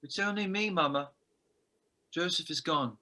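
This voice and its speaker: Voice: mid-range voice, measured pace, sharp tone. A performer playing a lost, plaintive five-year-old boy in a table-read drama.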